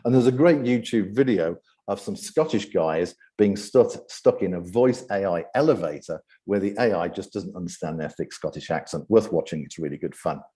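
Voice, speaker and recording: Low, mellow British man presenting. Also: expressive, normal speed. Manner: hesitant, often repeating words before going on. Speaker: event host presenting his AI ethics program. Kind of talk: unscripted presentation talk.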